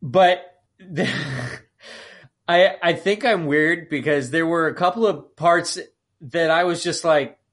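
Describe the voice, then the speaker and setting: A man's voice: on the high side, slow, fairly bright tone. A man co-hosting a podcast, chatting casually about films in an exclamatory, incredulous way. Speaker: podcast co-host. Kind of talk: casual film discussion.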